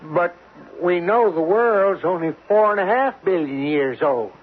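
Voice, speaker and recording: An actor playing a naive, nervous, plain-spoken crew helper in a radio drama, with an anxious, questioning manner. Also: male voice, sits high, animated, measured pace, breathy edge, phone-quality sound, exaggerated voice. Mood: puzzled. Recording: thin, noisy vintage radio recording.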